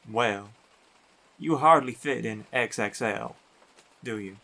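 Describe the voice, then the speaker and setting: A man playing a big, teasing, affectionate biker werewolf boyfriend in an intimate roleplay audio, talking in an unhurried, colloquial way. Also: mid-range voice, gravelly, pushed and bright, heavily acted. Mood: playful teasing.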